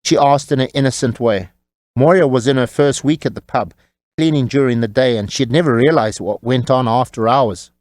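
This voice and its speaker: A man narrating a scripted audiobook story in a measured, wry storytelling manner. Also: medium pitch, darkish tone, no echo, clean studio sound.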